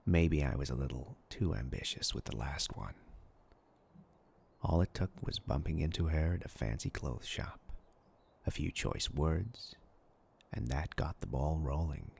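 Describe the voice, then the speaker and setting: Extremely deep, gravelly demon. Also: male voice, lively intonation, darkish tone, exaggerated voice. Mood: sly relish. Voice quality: gravelly, husky, breathy growl. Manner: slow, taunting, theatrical. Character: sinister male demon, predatory, arrogant, seductively menacing. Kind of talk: dark character roleplay monologue.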